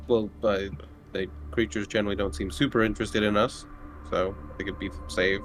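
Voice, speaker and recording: A man's voice: fairly low pitch, little pitch movement, a little fast, slight background noise. A man playing a tabletop roleplaying game, chatting casually in character.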